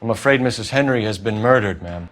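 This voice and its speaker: A man's voice: fairly low pitch, quick pace, a bit bright, close to an everyday voice. An American man playing an earnest, methodical 1940s police detective in a crime game, clipped and formal. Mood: official. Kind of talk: period crime game acting.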